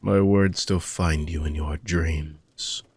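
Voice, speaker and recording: A man playing an ancient, weary dark mage villain with a gravelly, husky voice, speaking in soft, deliberate, formal phrases as an intimate fantasy audio roleplay monologue. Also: a bass voice, steady tone, no echo, full character voice. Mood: solemn, brooding. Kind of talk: slow, intimate dramatic monologue for sleep-aid audio roleplay.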